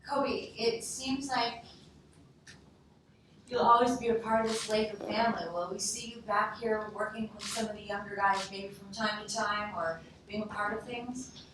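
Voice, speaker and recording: A woman's voice: mid-range voice, fairly even intonation, measured pace. Female reporter, mid-range, conference question, recorded from across a reverberant room. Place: indoor press conference room. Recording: echoey room, distant mic, noisy.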